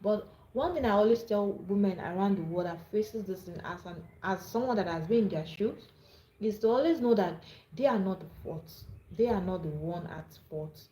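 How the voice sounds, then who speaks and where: Nigerian woman, live-stream host; earnest, halting delivery, mellow tone, echoey room. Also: medium pitch. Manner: earnest, repeats and restarts words. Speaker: livestream host. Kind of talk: live-streamed advice talk.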